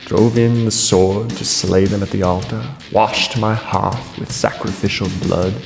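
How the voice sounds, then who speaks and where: A man playing a cruel, vengeful king in a classical verse tragedy, gloating deliberately and theatrically in a gravelly voice. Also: lowish voice. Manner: deliberate, theatrical, savouring each phrase. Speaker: stage actor. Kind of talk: classical verse tragedy acting, declaimed monologue. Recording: long reverb, guitar music underneath.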